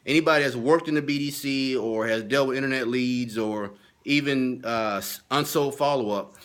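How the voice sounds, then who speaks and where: A man with a medium-pitched voice gives an informal training talk in the role of a sales consultant. He speaks emphatically and comes back to his key points, repeating them to drive them home. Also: normal speed, fairly bright tone, clear, resonant tone.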